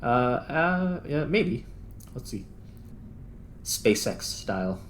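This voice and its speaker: A man's voice: mid-range voice, big pitch swings, takes it slowly. A man hosting a gaming channel, giving casual gameplay commentary and thinking aloud as he plays. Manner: thinks aloud while playing. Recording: clear background noise.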